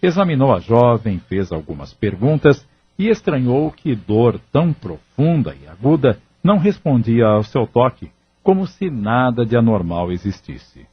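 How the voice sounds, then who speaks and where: A man's voice: mid-range voice, sing-song, measured pace, husky, no room sound, lo-fi sound. A man narrating a scripted audio drama.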